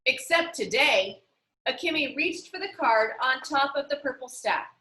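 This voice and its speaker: A woman with a high, bright voice reads a children's story aloud. Her delivery is animated and very expressive, as storytellers are when they act out a book. The sound is roomy and echoey. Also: moderate pace. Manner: animated, acting out dialogue.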